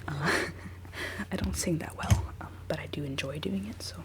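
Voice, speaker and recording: A woman in her twenties whispering a rambling, giggly ASMR chat while she does her makeup. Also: moderate pace. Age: 20s. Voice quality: decently low voice. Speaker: ASMR creator.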